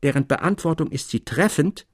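A man's voice: on the high side, animated, normal speed, breathy edge, no echo, clean studio sound, voice pushed up. A man reading the part of an earnest, intense young scholar in a literary story, with solemn, formal phrasing. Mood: formal, persuasive.

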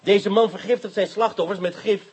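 An actor playing a stern, sardonic police inspector in a Dutch radio drama, with a dry, probing, formal way of talking. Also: male voice, high voice, steady tone, a little fast, darkish tone, slightly rough.

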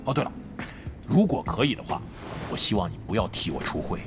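Brash, animated veteran gamer, musical backing. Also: male voice, on the low side, fairly quick, over music. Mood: teasing. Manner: cocky, grumbling, slangy, cursing banter. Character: brash, crafty middle-aged male veteran online gamer. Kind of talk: Chinese audio drama acting.

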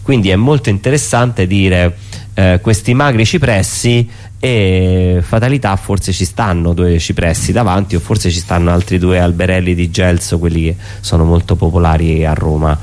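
Deep-voiced man, reflective and halting. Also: fairly even intonation, a little mellow. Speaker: performer, interview guest. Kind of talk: unscripted explanatory interview talk.

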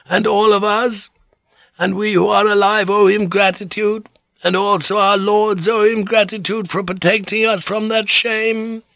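A man voicing a frail, hoarse old noblewoman who is dying, proud and solemn, in an audiobook story. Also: high voice, fairly bright tone, no echo, muffled recording, voice pushed up. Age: elderly. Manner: solemn, halting, formal declarations.

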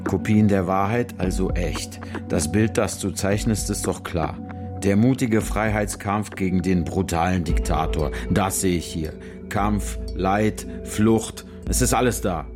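Low-voiced, smug officer, music underneath. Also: male voice, a little slow. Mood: lecturing. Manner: condescending, persuasive, mock-friendly. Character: Syrian army officer, smug, calculating, coolly menacing blackmailer. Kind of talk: radio drama acting, naturalistic dialogue.

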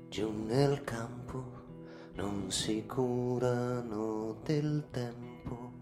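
A woman delivering a slow ballad with plucked guitar loud behind the voice. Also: extremely low pitch. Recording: echoey, loud guitar over voice. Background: loud plucked acoustic guitar.

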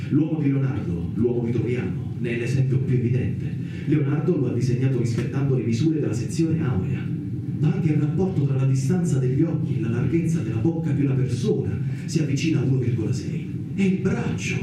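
An actor playing a musing, philosophical narrator in a theatrical radio monologue, solemn and deliberate with rhetorical pauses. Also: male voice, medium pitch, warm tone, a little hiss or hum, natural voice. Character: narrator-thinker musing on the universe, then addressing Freud. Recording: distant, very echoey radio theatre with music.

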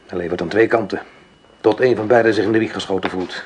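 An actor playing a recovering sick man in a radio play, speaking quietly and measuredly with a dry, pointed edge. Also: lowish voice, fairly even intonation, quick pace, slight background noise, natural voice. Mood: quiet, wary. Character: convalescent man, quiet, watchful and suspicious.

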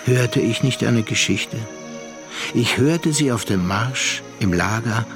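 A man narrating a literary radio drama with measured, deliberate phrasing and pauses. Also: medium pitch, lively intonation, music in the background.

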